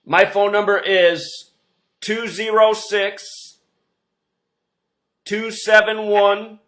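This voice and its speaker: A man's voice: high voice, steady tone, drawn-out words, a bit bright. A man hosting an online show, speaking in a rambling, insistent monologue with emphatic delivery. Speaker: online video host.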